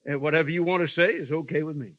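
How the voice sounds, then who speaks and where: An actor playing a folksy, controlling frontier sheriff in a 1950s radio western, laconic and authoritative, with an American western accent. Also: male voice, on the high side, fairly even intonation, rapid-fire, over loud music, lightly acted. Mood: affable, controlling. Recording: muffled, dry vintage radio broadcast.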